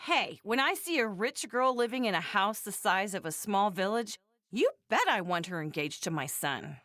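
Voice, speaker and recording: A woman's voice: medium pitch, animated, normal speed, a bit bright, no echo, clean studio sound, close to an everyday voice. A woman voicing a fussy, blunt, doting mother in an English anime game, with a dramatic, scolding manner. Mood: frank.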